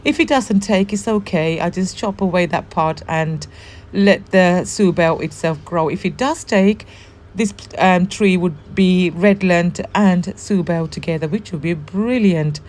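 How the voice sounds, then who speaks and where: A woman with a hoarse, worn voice from the flu, chatting casually and warmly as host of a gardening vlog, with frequent ums. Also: lowish voice, a little slow. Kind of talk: casual show-and-tell vlog.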